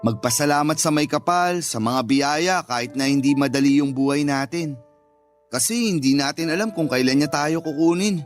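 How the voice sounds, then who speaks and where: An actor playing a devoted, selfless younger brother who teaches school, speaking earnestly and affectionately in a sentimental radio drama. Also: male voice, fairly high pitch, moderate pace, faint music behind, close to an everyday voice. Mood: earnest, reflective.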